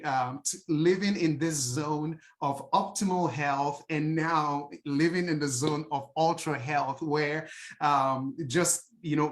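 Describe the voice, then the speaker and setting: A man with a Nigerian accent giving an earnest personal testimony as a guest speaker, with frequent fillers and restarts. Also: a little high.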